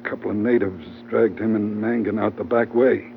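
An actor playing a rough, battered, grumbling sailor sidekick in an old radio drama. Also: male voice, lowish voice, fairly even intonation, a little fast. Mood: groggy, aggrieved. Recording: tinny, faintly noisy old radio.

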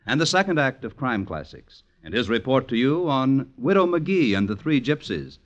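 A man's voice: mid-range voice, sing-song, normal speed, dull audio. A man working as a 1950s network radio announcer, with a polished promotional delivery.

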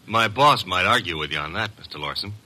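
Cocky, forceful detective sidekick. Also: male voice, low-pitched, expressive, fairly quick, full character voice. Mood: cool, probing. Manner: breezy, slangy, sardonic. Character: wisecracking, streetwise male private detective's assistant, cocky. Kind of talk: old-time radio detective drama acting. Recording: noisy old radio drama recording.

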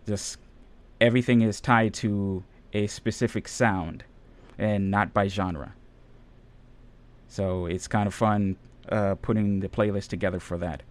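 Relaxed rambling male radio DJ. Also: lowish voice, measured pace. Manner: relaxed, rambling, many fillers. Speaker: radio DJ and audio editor. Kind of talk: casual radio interview chat.

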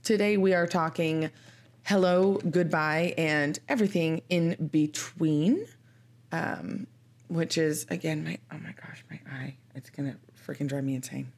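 Deep-voiced woman co-host, thirties, nasal from a cold, casual and self-mocking. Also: a little slow. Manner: chatty, laughs at her own complaints. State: congested from a cold, sniffly. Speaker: podcast co-host. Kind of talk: casual chat.

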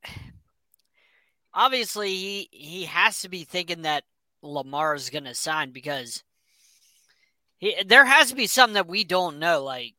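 A man's voice: sits high, animated, a little slow, long pauses, sharp tone, dry recording. A man co-hosting a sports talk podcast, laying out his argument step by step. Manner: argues his point, builds a case step by step. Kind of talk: casual sports analysis.

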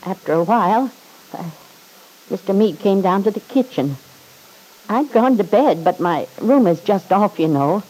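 Hesitant, husky old servant woman. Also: medium pitch, expressive, unhurried, a little mellow, poor audio. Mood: deferential, hesitant. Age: older. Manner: hesitant, deferential, stammering. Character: nervous, loyal old female household servant on the witness stand. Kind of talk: old-time radio drama acting.

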